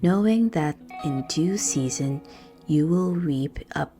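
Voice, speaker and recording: A woman with a low voice guides a prayerful meditation. She speaks very slowly and soothingly, leaving measured pauses between phrases. Gentle guitar music plays softly behind her. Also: lively intonation. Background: soft guitar music.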